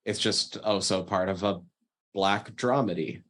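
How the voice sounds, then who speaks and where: A man's voice: low-pitched, measured pace, a bit bright. A man hosting a podcast, making recommendations in a casual, emphatic way with enthusiastic swearing. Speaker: podcast host. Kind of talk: casual chat, giving recommendations.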